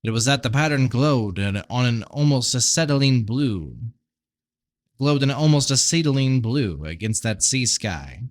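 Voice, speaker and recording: A man narrates a fantasy novel in a tired voice. His pitch sits in the middle of the male range, and the recording is close and dry. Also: lively intonation, measured pace, no room sound. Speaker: amateur audiobook reader.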